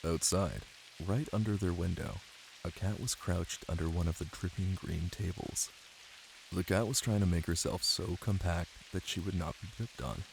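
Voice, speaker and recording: A man playing a caring, playful boyfriend who reads tenderly in an intimate ASMR style, with a deep, gravelly voice. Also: fairly even intonation, moderate pace, background noise. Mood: tender, calm. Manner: tender, teasing, close and cozy. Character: caring, playful young boyfriend reading to his partner. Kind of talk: intimate ASMR roleplay and bedtime story reading. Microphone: close microphone. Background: rain ambience.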